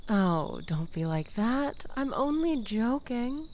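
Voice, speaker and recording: A woman's voice: fairly high pitch, very animated, takes it slowly, a little mellow, a little hiss or hum, exaggerated voice. A woman playing a manipulative, flirtatious toxic ex-lover in an intimate audio roleplay, coaxing and teasing with mock innocence. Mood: mock-innocent, needling.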